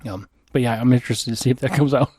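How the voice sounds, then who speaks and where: A man's voice: mid-range voice, quick pace, darkish tone, slightly rough, dry recording, clean studio sound. Crude-joking male podcast co-host. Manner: crude joking, lots of fillers like "like". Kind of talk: casual chat over beers.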